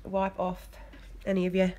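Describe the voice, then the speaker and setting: A woman with an English accent, hoarse from a cold, chatting casually as she talks through a nail art tutorial. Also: on the low side, completely flat delivery, normal speed, warm tone. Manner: chatty, loose, lots of fillers. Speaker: nail technician. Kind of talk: step-by-step tutorial narration.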